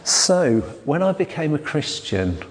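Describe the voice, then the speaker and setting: A man, a church elder giving a sermon in a quiet, hesitant way with many restarts. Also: on the high side, big pitch swings, unhurried, slight background noise. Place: church hall. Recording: long hall reverb.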